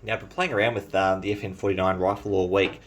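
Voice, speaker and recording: An Australian-accented man who grew up in New Zealand talks through his collection in a relaxed, chatty way. His voice sits on the low side, and he fills pauses with ums and uhs. A faint hiss of noise runs underneath. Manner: enthusiastic, chatty, frequent fillers. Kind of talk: casual show-and-tell vlog.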